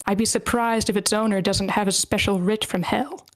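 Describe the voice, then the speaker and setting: A woman's voice: medium pitch, fairly even intonation, moderate pace, close to an everyday voice. A woman playing a haughty, disdainful rich noble in a screenplay table read over an online call.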